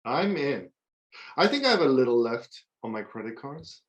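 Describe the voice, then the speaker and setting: A woman's voice: rumbling low, very animated, moderate pace, muffled recording. A woman playing an eager, easily impressed colleague in a table read.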